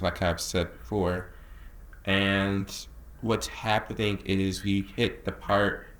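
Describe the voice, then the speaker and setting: A man of nineteen talks casually and earnestly to camera as a creative director, drawling some words and rushing others. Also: low voice, fairly bright tone. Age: young adult. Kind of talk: casual talking-head vlog. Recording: echoey room.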